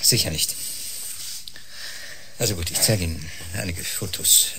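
An actor playing a falsely soothing doctor with sinister intent in a German radio drama, measured and placating. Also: male voice, low-pitched, noisy background.

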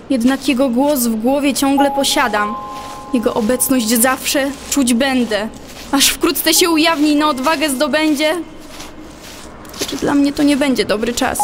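High-voiced woman reciting poetry slowly. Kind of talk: dramatic poetry recitation in an audio play.

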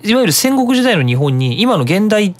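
Web radio host, casual monologue, explanatory, animated, widely varied pitch. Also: moderate pace.

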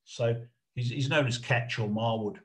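A middle-aged man giving an informal illustrated history lecture over a video call, explaining in a halting, hesitant way. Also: medium pitch. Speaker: lecturer, researcher presenting a talk.

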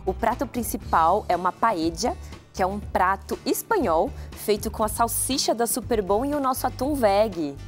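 A woman's voice: mid-range voice, lively intonation, normal speed, faint music behind. A Brazilian woman in her thirties, a guest cook on a TV studio show, explaining products conversationally. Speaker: guest company representative and cook. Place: TV kitchen studio.

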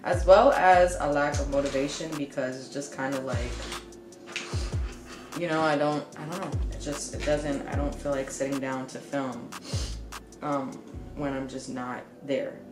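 A young woman with a low voice chats candidly to camera as she does her makeup, dropping plenty of casual fillers. She sounds slightly congested. Her voice carries some room echo, with music playing underneath. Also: fairly even intonation, a little slow. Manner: casual and candid, many "like" and "you know" fillers. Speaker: beauty vlogger. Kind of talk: chatty get-ready-with-me vlog. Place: small room with shelves, indoors.

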